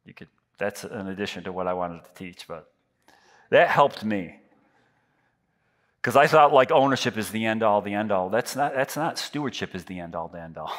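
A man's voice: mid-range voice, a bit bright. A man in his fifties preaching a sermon on a church stage into a headset mic, talking in a loose, self-deprecating way with frequent restarts. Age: 50s. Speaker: pastor. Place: large church stage with lit backdrop.

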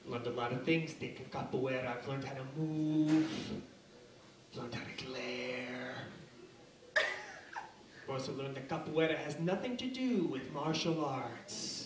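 A man's voice: medium pitch, wildly swooping pitch, slow pace, crowd noise. A man in his thirties doing a stand-up comedy routine, theatrical, with mock-formal flourishes. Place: small dark comedy bar. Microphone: handheld stage microphone. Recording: roomy club sound, distant, audience noise. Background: club audience.

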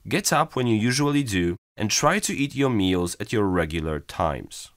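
A man giving a clear, careful scripted reading for a language listening exam. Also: on the low side, sing-song, moderate pace, dry recording, studio quality. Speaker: exam recording reader.

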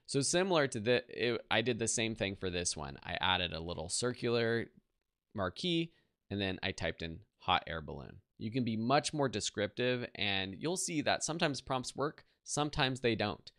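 A man in his thirties giving a casual, easygoing step-by-step software tutorial in a conversational way. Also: lowish voice, lively intonation, normal speed, dry recording, studio quality.

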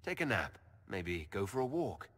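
A calm male elf leader in a video game, speaking in a measured, dryly understated way. His mid-pitched voice moves with lively inflection, and a long reverb hangs behind it. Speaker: player character leader.

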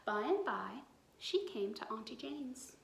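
A woman in her thirties reading a picture book aloud to children with dramatic, drawn-out emphasis. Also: fairly high pitch, sing-song, gravelly, fairly bright tone, a little hiss or hum. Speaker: children's storyteller. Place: small bright room indoors. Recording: roomy indoor echo.

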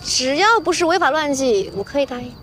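High-voiced playful, stubborn young woman. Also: expressive, brisk, fairly bright tone, slight background noise. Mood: teasing. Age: young adult. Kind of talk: TV romance drama dialogue.

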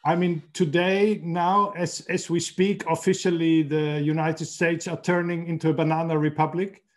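A middle-aged man from Austria hosting a podcast interview over a video call from his home study, talking haltingly and repeating words as he searches for phrasing. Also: on the high side, flat delivery. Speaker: podcast host. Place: home room with bookshelves.

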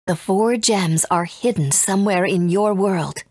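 A woman's voice: mid-range voice, a little slow, a little mellow, breathy edge, no echo. A woman playing a composed, authoritative guide in a video game, serious and explanatory. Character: composed, authoritative woman guiding young heroes.

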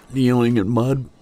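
A man playing a tender, grieving young hunter boyfriend in an intimate, emotional audio drama, halting and husky, often dropping toward a whisper. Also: medium pitch, dark tone. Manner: halting, emotional, long pauses. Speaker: audio roleplay voice actor.

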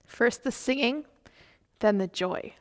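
A woman's voice: a little high, takes it slowly. A woman reading scripted liturgy as a worship leader in church. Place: church sanctuary, indoors. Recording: reverberant church hall.

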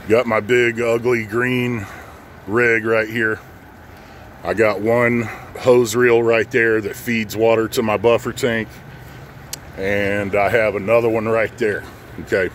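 Low-voiced American man, casual. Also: flat delivery, slow pace. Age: middle-aged. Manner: informal, plain-spoken. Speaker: pressure washing business owner and channel host. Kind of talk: casual how-to vlog, unscripted. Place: outdoors in a residential driveway. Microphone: handheld phone at arm's length. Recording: outdoor phone audio with light background noise.

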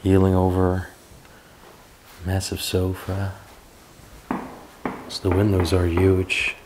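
Low, flat-voiced young man exploring. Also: takes it slowly, background noise. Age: young adult. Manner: marveling, points things out to viewers. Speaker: urban explorer vlogger. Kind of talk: casual walkthrough commentary. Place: large abandoned castle indoors.

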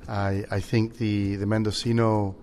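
Chilean man, halting casual talk. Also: on the low side, level tone, a little mellow, faint music behind. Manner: halting, many restarts. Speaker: winery general manager. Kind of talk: casual conversation.

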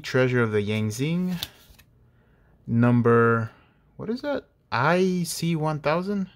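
A man opening trading card packs at home, keeping his voice down so he won't wake others, with a slightly dry voice, reading each card name aloud in a casual, hushed way. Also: mid-range voice. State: voice a bit off, dry throat. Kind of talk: casual pack-opening commentary. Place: indoors at a table at night.

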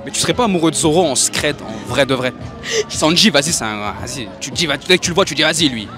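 Young man, provocative interviewer, rapid and lively, music behind. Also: on the high side. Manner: cheeky, provocative. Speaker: street interviewer. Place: outdoor steps at a carnival. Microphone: handheld mic.

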